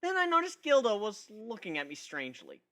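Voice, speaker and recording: Guy reading a story out loud, really animated, sarcastic, bright voice, first person. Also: high-pitched, brisk. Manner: sarcastic, theatrical. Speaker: narrator.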